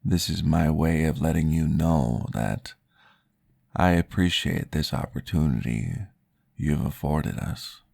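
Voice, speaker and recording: A man voicing a courteous male vampire guiding a therapy session in a formal, polite, soft-spoken ASMR roleplay, recorded close to the mic. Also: a bass voice, slow, creaky, no room sound, full character voice. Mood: calm, courteous.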